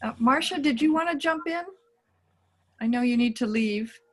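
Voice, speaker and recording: A woman facilitating an online academic meeting, thanking each speaker warmly and inviting others to join in. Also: a little high, normal speed. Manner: warm and encouraging, thanks speakers. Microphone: video call.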